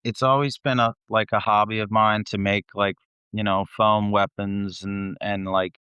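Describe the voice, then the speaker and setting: A man's voice: on the low side, flat delivery, moderate pace, pushed and bright, clear, resonant tone, no echo, highs cut off. A man chatting casually as an interview guest, rambling and self-deprecating, with some swearing. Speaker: guest, metal band vocalist. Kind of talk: casual chat.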